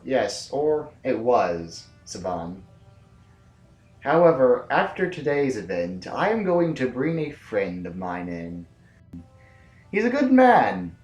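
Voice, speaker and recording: A man playing an earnest, skeptical general who speaks formally and deliberately in a science-fiction audio drama. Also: medium pitch, darkish tone. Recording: echoey room, music behind.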